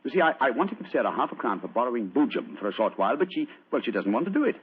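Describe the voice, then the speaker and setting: A man playing a shrewd, courteous gentleman detective in a radio drama, formal and theatrically authoritative. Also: medium pitch, big pitch swings, racing, slightly rough. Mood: awkward coaxing. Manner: hesitant coaxing, formal explaining. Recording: muffled, lo-fi old radio recording.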